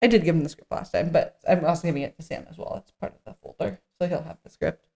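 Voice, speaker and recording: A woman's voice: deep voice, fast talker, creaky, darkish tone. A woman co-hosting a podcast, chatting casually through a script read-through in a blunt way, swearing freely. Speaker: podcast co-host.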